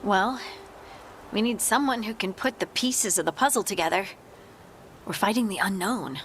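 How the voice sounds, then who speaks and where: Young female scout for a fantasy game, woman acting: earnest, mid-pitched, plain-spoken. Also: lively intonation, moderate pace, fairly bright tone, slight background noise, natural voice. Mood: resolved.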